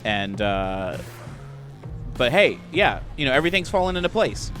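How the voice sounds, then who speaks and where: A man's voice: mid-range voice, big pitch swings, moderate pace, a bit bright. Rambling casual male game player. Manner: informal, rambling. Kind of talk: casual improvised in-character chat. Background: music.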